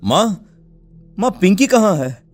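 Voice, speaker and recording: Plaintive village dad played by a man, anxious and grieving, high voice, cartoon melodrama over light music. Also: very animated, takes it slowly, full character voice. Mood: panicked. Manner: plaintive, emotional. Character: anxious, grieving village father seeking his daughter. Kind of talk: children's animated story acting.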